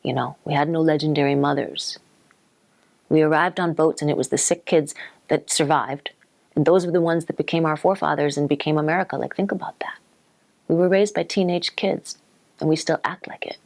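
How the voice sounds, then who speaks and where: A woman with a low voice full of vocal fry. She gives opinionated, pointed commentary as an interviewee. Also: steady tone, brisk, darkish tone.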